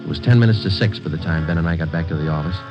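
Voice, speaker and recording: A deadpan American police detective, played by a man from classic radio crime drama. He is low-voiced and clipped, rattling off facts quickly without fuss. The sound is a dull old broadcast with music behind. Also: close to an everyday voice. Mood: flat, factual. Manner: deadpan, terse, matter-of-fact. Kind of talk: 1940s-50s radio drama narration, clipped and factual. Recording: dull vintage radio recording.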